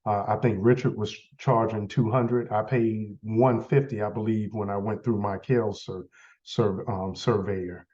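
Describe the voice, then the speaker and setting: A man with a cold, sounding a little stuffy, leading a members' meeting in a halting, filler-heavy way. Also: on the low side, steady tone, dark tone, highs cut off. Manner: halting, many fillers and restarts. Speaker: association leader running a meeting. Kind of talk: casual meeting update.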